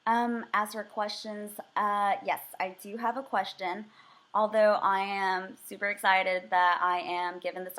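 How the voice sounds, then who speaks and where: A woman's voice: medium pitch, fairly bright tone. A young American woman, raised speaking Vietnamese first, giving earnest, eager answers in a mock job interview with frequent filler words. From United States. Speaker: job candidate.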